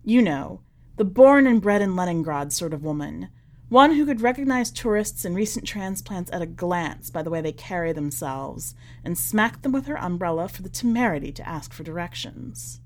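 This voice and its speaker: A woman reading a short story as a podcast narrator, with wry, deliberate emphasis in an expressive scripted delivery. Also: fairly low pitch.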